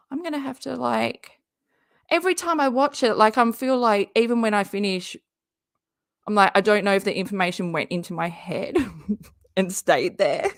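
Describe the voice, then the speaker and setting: A woman hosting a casual live stream, chatty and jokey, who talks straight to her viewers and is hoarse from often losing her voice. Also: medium pitch, no echo, studio quality. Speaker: YouTube live-stream host and comedian.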